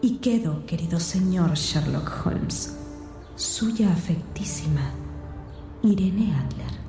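Una actriz que interpreta a una dama astuta, elegante y burlona que lee una carta en una radionovela, con eco. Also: female voice, lowish voice, animated, slow pace, gravelly, over music, exaggerated voice. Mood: poised, teasing. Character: clever, poised lady adventuress, elegantly teasing. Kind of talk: radio drama letter reading. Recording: roomy echo on the voice.

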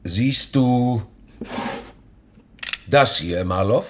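Husky, hostile police inspector. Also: male voice, medium pitch, lively intonation, very slow. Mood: menacing. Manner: contemptuous, menacing. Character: sour, hostile, threatening Moscow police inspector. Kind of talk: hardboiled German radio crime drama acting.

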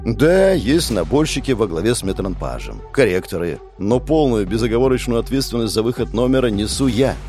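Low-voiced guy narrating an audiobook, really animated, faint music under it. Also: a little fast, few pauses. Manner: expressive, animated reading. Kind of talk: first-person audiobook narration.

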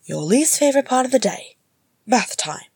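A woman plays a bossy dwarf mother in a fantasy storybook. She is dry and teasing, a sharp-tongued sister. Her voice is raised in pitch with a husky edge, recorded dry. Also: very animated, normal speed, no room sound, exaggerated voice. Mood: brisk.

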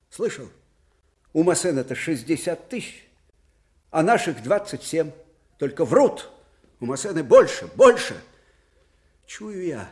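An actor playing a shrewd, fervent elderly military commander in a radio drama, with emphatic, theatrical delivery that shifts abruptly. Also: male voice, fairly high pitch, a little mellow. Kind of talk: Soviet radio drama acting.

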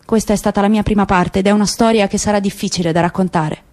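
A woman actor narrating a radio drama in a measured, grave way. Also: medium pitch, fairly even intonation, darkish tone.

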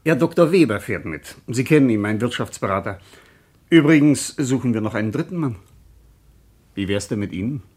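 Radio drama: confident publisher and organiser, slightly rough husky voice, businesslike and urging. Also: male voice, mid-range voice, animated, brisk, darkish tone. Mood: matter-of-fact, confident. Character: brisk, self-assured male publisher, persuasive organiser. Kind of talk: radio play acting.

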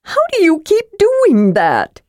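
A woman's voice: very high voice, wildly swooping pitch, takes it slowly, a little mellow, no echo, studio quality, pitched above the performer's own voice, full character voice. A woman voicing an eager, chatty, childlike male kender adventurer in a fantasy audiobook, given to wailing and arguing.

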